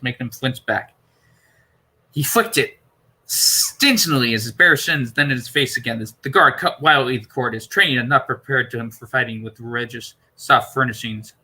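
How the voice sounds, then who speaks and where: A man's voice: mid-range voice, pushed and bright, rough recording. A man reading a novel aloud as an amateur narrator, now and then stumbling over words. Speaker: amateur audiobook reader.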